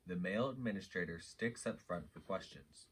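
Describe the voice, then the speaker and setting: A man's voice: low-pitched, moderate pace, a bit bright. A man reading out stage directions as scripted narration, with faint noise on the recording. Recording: faint background noise, clicks.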